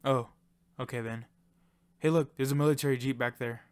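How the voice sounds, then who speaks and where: A man's voice: mid-range voice, fairly even intonation, normal speed, clear, resonant tone, no echo, natural voice. A man playing a loyal young friend in an audio drama, speaking in a casual, earnest way. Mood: tense, casual. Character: Ed, loyal young friend and teammate, calming but scared. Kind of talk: amateur audio fiction acting.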